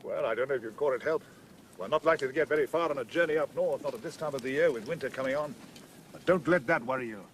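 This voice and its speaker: A man's voice: mid-range voice, fast, a little hiss or hum, lightly acted. A man playing a gloomy, pessimistic marsh creature in an audio drama, speaking lugubriously as if expecting the worst. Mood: dolefully pessimistic. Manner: lugubrious, always predicting the worst.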